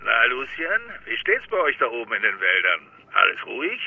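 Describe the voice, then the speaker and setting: An actor playing a chatty, teasing police lieutenant heard through a telephone filter. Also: male voice, mid-range voice, big pitch swings, moderate pace, no echo. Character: chatty, wry male police lieutenant, teasing old colleague. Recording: narrow, raspy telephone line.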